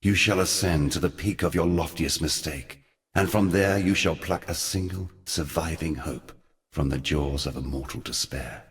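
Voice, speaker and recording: A man playing an ancient, forgotten god in a video game, somber and weary, speaking solemnly and deliberately in archaic, portentous phrases. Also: a bass voice, long reverb tail. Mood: stern exhortation. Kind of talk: scripted video game character dialogue.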